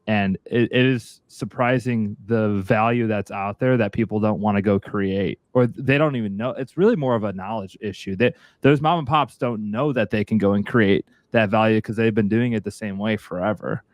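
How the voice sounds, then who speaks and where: Podcast host guy, lowish voice, dry recording, chatting and interviewing about business. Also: moderate pace, hardly any pauses, no echo. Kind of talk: conversational interviewing.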